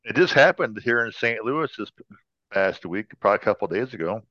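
A man's voice: on the low side, lively intonation, a little fast, no echo, lo-fi sound, soft background music. An American man co-hosting a podcast in casual chat, tossing in playful, joking asides. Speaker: podcast co-host. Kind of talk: casual chat and informal news retelling.

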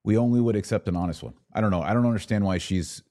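A man's voice: lowish voice, fast talker, mellow. A man hosting a podcast, giving casual, opinionated political commentary in a conversational way with some mocking mimicry.

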